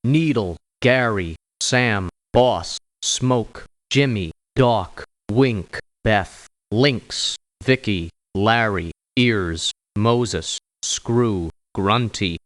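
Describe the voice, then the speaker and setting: A man playing a proud, wry hired mercenary in a video game, with short, deliberate lines. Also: fairly low pitch, a bit bright, dry recording. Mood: matter-of-fact. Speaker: mercenary character in a strategy game.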